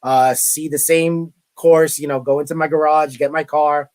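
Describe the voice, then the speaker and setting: Chatty Brooklyn man, slightly high voice. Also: fairly even intonation, normal speed, a little mellow. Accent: Brooklyn New York. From Brooklyn, New York. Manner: chatty, repeats words, self-deprecating asides. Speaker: guest, college media relations director. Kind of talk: casual interview chat.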